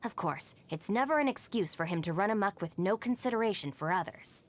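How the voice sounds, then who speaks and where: A woman's voice: mid-range voice, lively intonation, fairly quick, natural voice. An actress playing a level-headed, self-reliant young woman in a video game, speaking in a composed, dry, matter-of-fact way. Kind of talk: scripted video game character voice lines. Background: background music.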